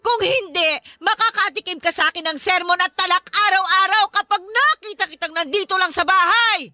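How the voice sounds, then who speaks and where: An actress playing a short-tempered, nagging working-class mother in a Tagalog radio drama, berating her grown son with sharp exclamations. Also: very high-pitched, normal speed, no room sound, clean studio sound, heavily acted. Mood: exasperated, berating.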